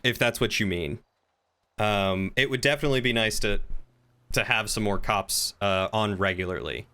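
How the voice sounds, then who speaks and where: A man's voice: lowish voice, normal speed, bright, forceful tone, clean tone. A man chatting casually as he streams game roleplay, rambling and thinking aloud. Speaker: livestreamer.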